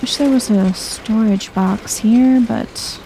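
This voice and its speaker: Soft-spoken, mellow woman with gravelly creak. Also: slow pace, a little hiss or hum, poor audio. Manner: chill, low-key, dryly wry. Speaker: video game streamer. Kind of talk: soft-spoken ASMR let's play commentary.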